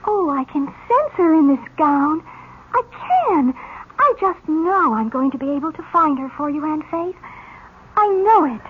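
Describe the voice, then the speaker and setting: A woman's voice: high-pitched, big pitch swings, a little slow. An actress playing a sly, theatrical psychic orphan girl in an old-time radio drama. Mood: entranced, eager. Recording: hissy muffled old radio recording.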